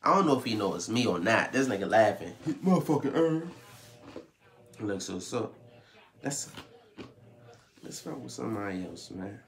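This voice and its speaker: A man's voice: lowish voice, sing-song, normal speed, gravelly, long pauses. A young man chatting casually to camera as a prank call vlogger, slangy and profane, often repeating his phrases. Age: young adult. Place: small indoor room at home. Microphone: camera mic a short distance away. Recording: faint room noise.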